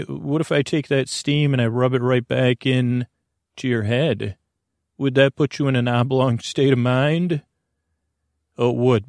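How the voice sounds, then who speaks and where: A man with a mid-pitched, gravelly voice delivers a meandering bedtime podcast monologue. He speaks haltingly, doubles back on himself and drifts into gentle absurdity. The sound is close and dry, with plenty of vocal fry. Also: no room sound. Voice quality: creaky, gravelly. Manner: meandering, halting, digressive, with trailing self-corrections; whimsical, mildly absurd, apologetic. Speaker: podcast host. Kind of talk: rambling sleep podcast monologue.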